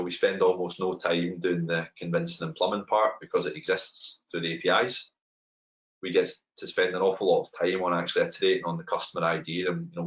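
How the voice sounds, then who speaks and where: A middle-aged man with a Glaswegian accent gives a webinar talk over a video call from a room at home, relaxed and conversational with many ums and repeated words. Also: low voice, low-quality recording. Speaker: head of open banking technology, webinar speaker. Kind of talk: webinar presentation. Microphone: webcam on a video call.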